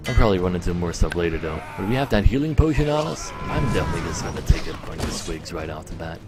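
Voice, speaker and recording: A man's voice: deep voice, expressive, quick pace, loud music behind the voice. A man livestreaming a game, switching between chatty commentary to his viewers and reading story text aloud as a narrator. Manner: chatty, talking to live viewers.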